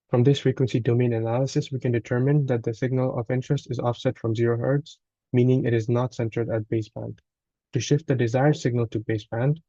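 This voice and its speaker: A man with a soft, mellow voice presents a scripted technical walkthrough like a student giving a report. His delivery is a little halting, with small stumbles and corrections. The recording is very dry and slightly dull. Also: medium pitch, no echo. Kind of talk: scripted technical narration, read aloud.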